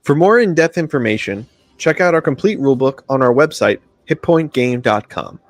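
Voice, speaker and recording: A man's voice: mid-range voice, animated, moderate pace. A man reading scripted promotional narration that explains things step by step. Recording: music bed behind voice. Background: music.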